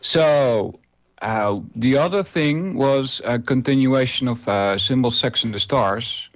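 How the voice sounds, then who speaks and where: A man's voice: mid-range voice, takes it slowly, a bit bright. A man in his forties, a native Dutch speaker, hosting a solo internet radio show and talking it through unscripted, with many filler uhs and restarts. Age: 40s.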